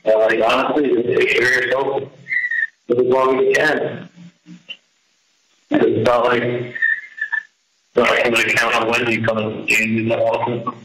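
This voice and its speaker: A middle-aged man talking casually and haltingly on a recorded jail phone call, rambling as he goes. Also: mid-range voice, flat delivery, bright, forceful tone, roomy sound. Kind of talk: casual private phone conversation.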